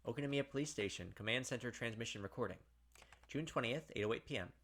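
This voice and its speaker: A man's voice: medium pitch, fast, fairly bright tone. A man giving casual gaming commentary and reading on-screen text aloud, talking through his theories in a puzzled way. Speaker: gaming video host. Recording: clear background game noise.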